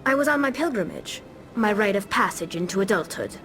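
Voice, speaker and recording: An earnest young alien woman, voiced by an actress for a video game. She sits at a middle pitch and explains things like a resourceful traveller telling her story. Music plays clearly behind her. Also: lively intonation, a little fast, natural voice. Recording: loud music behind dialogue.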